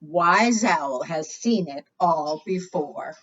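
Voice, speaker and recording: A woman's voice: sits low, expressive, slow, fairly bright tone. A woman leading a preschool storytime, reading aloud expressively and putting questions to her young listeners. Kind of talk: read-aloud for young children. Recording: dull lo-fi sound.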